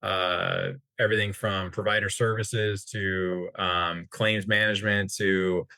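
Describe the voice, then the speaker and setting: Man, American accent, low pitch, dry close recording, informal expert discussion, rephrases often. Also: little pitch movement, a little slow, bright, forceful tone, smooth, no echo. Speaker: interview guest, healthcare industry executive.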